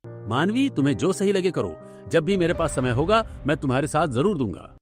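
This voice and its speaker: A man's voice: a little high, sing-song, moderate pace, soft background music, voice pushed up. A man playing an earnest, supportive young husband in a cartoon story, speaking plainly and sincerely. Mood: hopeful.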